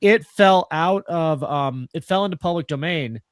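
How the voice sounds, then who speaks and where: Higher-voiced man hosting, close and dry. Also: moderate pace, no room sound. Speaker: host of a radio players troupe discussion. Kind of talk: casual chat, moderating a cast discussion.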